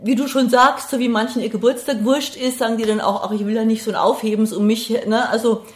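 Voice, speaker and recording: A woman's voice: fairly high pitch, steady tone, fast. A middle-aged woman, talking casually and reflectively as an interview guest, hesitant as she thinks aloud. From Passau, Bavaria, Germany. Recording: roomy, echoey sound.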